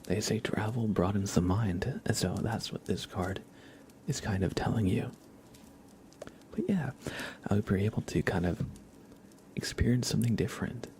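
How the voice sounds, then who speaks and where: A man hosting an ASMR stream in a soft, breathy half-whisper, speaking gently and informally. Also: on the low side, normal speed, pauses often, slight background noise. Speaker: ASMR livestream host.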